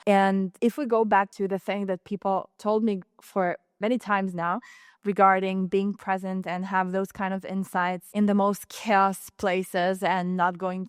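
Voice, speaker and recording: Young Israeli-accented woman hosting a solo podcast; earnest, rambling delivery, crisp voice, dry room. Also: mid-range voice, no echo. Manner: rambling and earnest, many restarts and fillers. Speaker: podcast host. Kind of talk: casual solo podcast talk.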